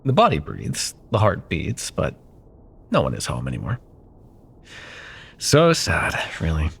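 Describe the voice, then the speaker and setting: A smug, wealthy CEO ex-lover played by a man in an ASMR audio drama. His voice is very low and breathy, with a gravelly creak. He drawls with silky condescension, possessive and menacing, leaving pointed pauses. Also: wildly swooping pitch, exaggerated voice. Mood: coolly menacing. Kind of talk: ASMR roleplay, intimate dramatic monologue.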